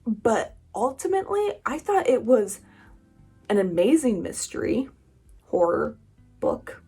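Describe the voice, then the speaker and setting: A woman's voice: a little high, very animated, measured pace, mellow, slightly rough, soft background music. A woman hosting a book vlog, talking in a casual, chatty style with informal, emphatic asides. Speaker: book reviewer and channel host. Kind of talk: casual chatty video monologue.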